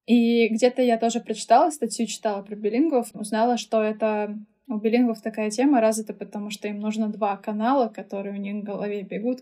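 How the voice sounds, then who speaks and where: A woman's voice: on the high side, warm tone. A young woman from Russia, chatting casually as a podcast guest and explaining things with everyday examples and slang. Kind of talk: casual interview chat.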